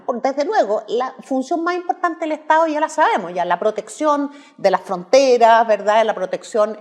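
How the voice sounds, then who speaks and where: A woman in her fifties from Chile with a Chilean accent, explaining her views as a guest in a measured way. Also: mid-range voice. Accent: Chilean Spanish. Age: 50s. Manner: measured, explanatory, tagging points with "¿verdad?". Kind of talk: political discussion.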